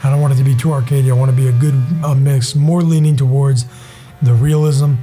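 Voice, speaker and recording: A hoarse young man with a raspy voice, giving casual, rambling gaming commentary as a channel host. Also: mid-range voice, steady tone, brisk, mellow, soft background music. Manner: rambling, enthusiastic, many fillers.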